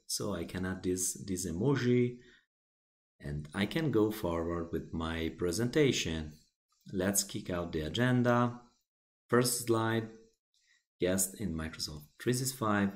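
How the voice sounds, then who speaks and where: Man, Italian native, unhurried and fairly low, plain step-by-step tutorial narration with restarts. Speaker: software tutorial presenter. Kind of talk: screen-recorded software walkthrough.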